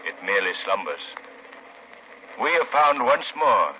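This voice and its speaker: Commanding 1930s radio crime fighter. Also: male voice, fairly low pitch, big pitch swings, a little slow. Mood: calm, grave. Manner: dramatic, commanding. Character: the Shadow, commanding male crime fighter with secret powers. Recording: muffled, noisy 1930s radio recording.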